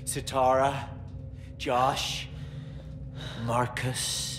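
An arrogant tech villain in a video game, contemptuous and vengeful. He speaks slowly and with menace, with clear ambience behind him. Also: medium pitch, little pitch movement, background noise. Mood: vengeful threat. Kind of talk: video game cutscene acting.

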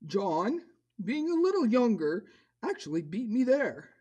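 A woman playing a bold male puppet disciple, telling a children's story in an earnest, expressive way. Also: a little high, unhurried, husky, full character voice. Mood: eager. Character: bold, eager puppet disciple Simon Peter, a man.

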